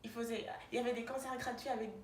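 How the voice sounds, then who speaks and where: Roommate chatting, young woman speaking a second language, fillers everywhere, slightly high voice, faint background noise. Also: little pitch movement, racing, roomy sound. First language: neither French nor English. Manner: chatty, many fillers and restarts. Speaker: roommate answering questions. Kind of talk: casual chat.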